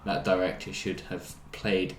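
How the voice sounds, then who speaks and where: Low-voiced man in an echoey, noisy room. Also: level tone, unhurried. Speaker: podcast co-host. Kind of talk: casual discussion chat. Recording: echoey room with background noise.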